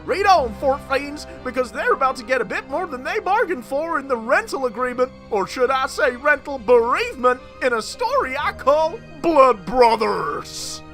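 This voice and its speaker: A man's voice: very high-pitched, very animated, moderate pace, hardly any pauses, fairly bright tone, soft background music, full character voice. A man voicing a gleeful, theatrical horror host introducing a comic with hammy, ominous puns. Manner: hammy, punning, grandly ominous.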